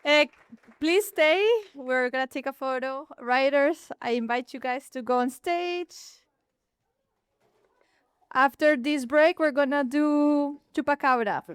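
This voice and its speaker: A woman's voice: high-pitched, takes it slowly, no echo, studio quality. A woman hosting a live script reading on a theater stage, upbeat and encouraging. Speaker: event host.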